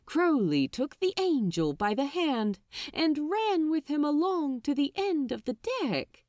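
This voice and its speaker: Very expressive, unhurried woman narrator. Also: high-pitched, dry recording, studio quality. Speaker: audiobook narrator. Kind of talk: expressive scripted fiction narration.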